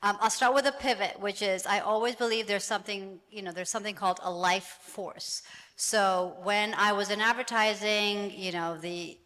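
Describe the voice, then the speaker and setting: A woman's voice: mid-range voice, fairly even intonation, normal speed, a bit bright. A woman panel guest and actor, talking casually and chattily, with many restarts and easy laughs. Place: event hall with audience. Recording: long hall reverb.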